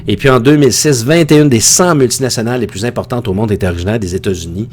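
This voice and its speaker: A male high school teacher speaks with a Quebec French accent at a medium pitch. He delivers his online lesson informally, as if in conversation. He readily gives his own opinions and wanders into asides. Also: fast, a little mellow, a little hiss or hum. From Quebec, Canada.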